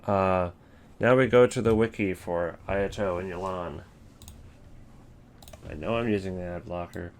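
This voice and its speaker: A man with a slightly low voice hosts a casual ranking video. He rambles wryly, thinking out loud as he goes. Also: unhurried, long pauses. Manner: rambling, thinking aloud, wry. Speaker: video host ranking game voice lines. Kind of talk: casual unscripted commentary.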